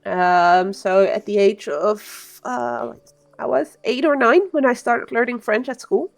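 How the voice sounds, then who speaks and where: A woman's voice: a little high, slow. A woman from the part of Belgium, chatting casually as a game streamer and rambling through explanations. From Belgium, Flemish-speaking part. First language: Dutch (Flemish). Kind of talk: casual live-stream commentary.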